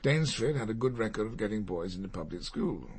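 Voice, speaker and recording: An actor playing a reserved middle-aged barrister in a radio crime drama, speaking formally and gravely. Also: male voice, fairly low pitch, lively intonation, fast. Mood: guarded, reflective.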